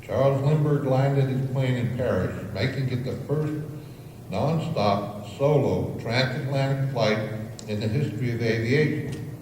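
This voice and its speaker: A man's voice: mid-range voice, unhurried, darkish tone. An American man in his seventies delivering a short prepared speech to a gathering. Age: 70s. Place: large indoor hall. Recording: very echoey hall, faint noise.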